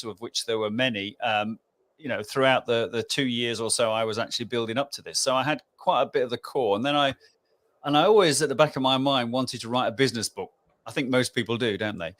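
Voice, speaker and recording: A man's voice: medium pitch, a little fast, a bit bright. A man in his sixties with an English accent, a guest on a podcast, talking chattily with fillers and tag questions. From England. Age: 60s. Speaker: guest author. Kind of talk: podcast interview.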